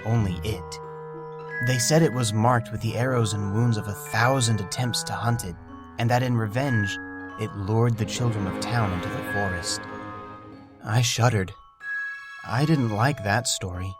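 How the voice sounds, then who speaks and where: A man narrating a scripted story in a gentle, wondering way. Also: fairly low pitch, unhurried, music in the background.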